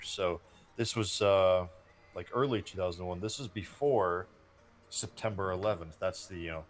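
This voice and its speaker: Low-voiced man of thirty telling gaming stories. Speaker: gaming show co-host. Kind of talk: casual gameplay commentary and reminiscing.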